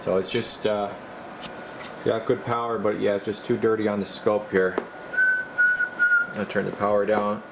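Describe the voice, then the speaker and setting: A man with a low, creaky voice talks casually through repair work. He speaks haltingly, half muttering to himself as he thinks. A clear steady hum of room noise sits behind him. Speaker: radio repair technician and video host. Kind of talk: casual bench commentary while working. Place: indoor radio repair workbench.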